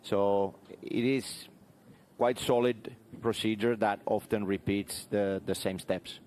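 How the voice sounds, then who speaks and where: Flat, low-voiced race control expert man. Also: slow, a little hiss or hum. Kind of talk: explanatory live commentary.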